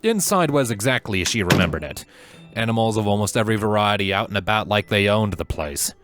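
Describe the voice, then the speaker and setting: A man's voice: lowish voice, expressive, quick pace, over music. A man reading a scripted story aloud as the narrator. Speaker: fan fiction narrator.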